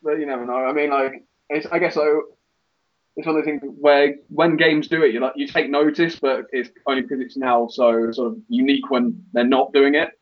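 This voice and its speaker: A man's voice: mid-range voice, fairly even intonation, brisk, lo-fi sound. A man talking casually as co-host in a podcast discussion.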